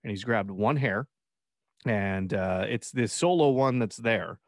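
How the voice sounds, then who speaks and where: A man's voice: on the low side, animated, unhurried, dry recording, clean studio sound. A man in his thirties co-hosting a podcast, rambling and teasing in casual banter with light swearing. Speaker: podcast co-host.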